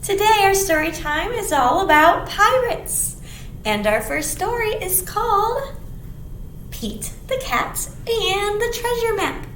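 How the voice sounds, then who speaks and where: A middle-aged woman reading aloud as a children's storytime librarian, with an expressive, sing-song delivery and simple questions to the children. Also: very high-pitched, takes it slowly. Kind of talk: animated read-aloud to young children. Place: small indoor room. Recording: roomy echo, faint background noise.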